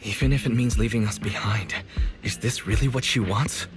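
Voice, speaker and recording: An actor voices a bewildered, troubled young man, a loyal student. His slightly low voice is hushed and questioning, in game cutscene style. Faint music runs behind. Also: normal speed, natural voice. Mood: disbelieving, pained. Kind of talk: dramatic game cutscene acting.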